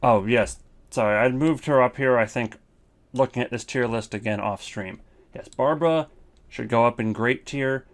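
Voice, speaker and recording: A man's voice: mid-range voice, creaky. A man giving casual, rambling commentary on a ranking list as a video host. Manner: rambling, informal.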